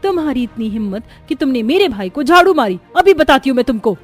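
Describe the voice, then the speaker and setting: A woman's voice: high-pitched, very animated, fast talker, soft background music. A woman voicing indignant, bickering women of a family in a Hindi cartoon story, in a dramatic, scolding manner. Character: bickering, indignant young Indian daughters-in-law and scolding mother-in-law.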